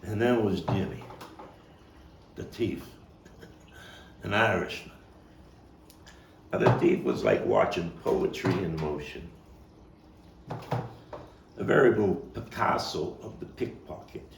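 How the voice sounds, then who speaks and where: A man performing a theatrical monologue as a coarse, wry, streetwise Brooklyn man looking back on his boyhood gang, telling it with dramatic pauses and emphatic swells. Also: deep voice, big pitch swings, creaky, full character voice. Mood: relishing, excited. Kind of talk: theatrical monologue, table read. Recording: roomy, distant mic, faint noise.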